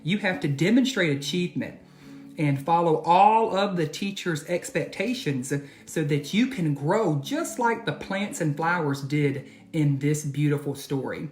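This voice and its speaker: A man reading aloud and teaching for children, expressive and addressing them directly with questions. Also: on the high side, unhurried, soft background music. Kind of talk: children's storybook read-aloud and lesson.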